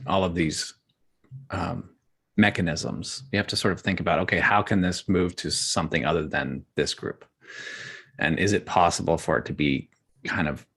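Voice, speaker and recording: A man's voice: low-pitched, creaky, fairly bright tone, breathy edge. A man speaking as a panelist in a casual, considered discussion. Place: remote video call.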